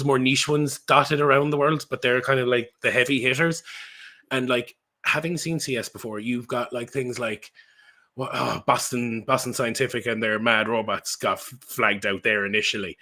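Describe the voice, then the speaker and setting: A man's voice: medium pitch, pushed and bright. A man with an Irish accent hosting a podcast, chatting in an enthusiastic, informal way. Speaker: podcast host. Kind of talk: casual conversational interview.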